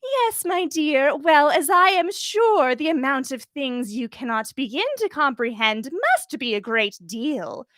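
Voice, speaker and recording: A woman acts out a haughty, prim older witch in a read-aloud story. Her pitch sits high and swoops with indignation. She is sickly sweet and scolding, enunciating each word with condescension. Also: sing-song, measured pace, hardly any pauses, a bit bright, no echo, full character voice. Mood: sweetly condescending.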